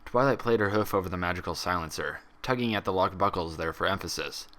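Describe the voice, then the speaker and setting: Low, crisp-edged man narrating a story. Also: quick pace, sharp tone. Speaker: fan fiction reader, narrator. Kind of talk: dramatic scripted story narration.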